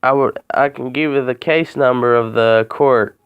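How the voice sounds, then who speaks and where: A man making a complaint by phone, talking in a halting, rambling way and repeating himself. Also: mid-range voice, clear, resonant tone. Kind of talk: phone call, informal account. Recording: muffled phone call recording.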